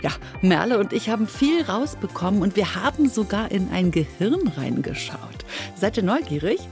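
A woman's voice: mid-range voice, sing-song, moderate pace, darkish tone, faint music behind. A woman hosting a children's podcast, giving a scripted, upbeat introduction that addresses young listeners.

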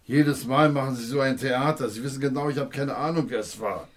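A man's voice: medium pitch, brisk, a little hiss or hum, close to an everyday voice. An actor playing an exasperated, protesting man in a radio crime play, blunt and agitated.

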